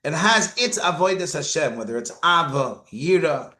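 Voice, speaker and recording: A man's voice: a little high, animated, normal speed, sharp tone, roomy sound. A man giving a Torah lecture as a rabbi, asking rhetorical questions and mixing Hebrew and Yiddish terms into his English. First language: not Hebrew. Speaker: rabbi teaching.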